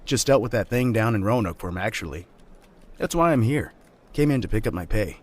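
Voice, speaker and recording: A man's voice: on the low side, lively intonation, brisk, breathy edge. An actor playing a laid-back, teasing young man and loyal friend in an audio drama, casual and joking. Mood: easygoing. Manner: casual, joking, swears freely.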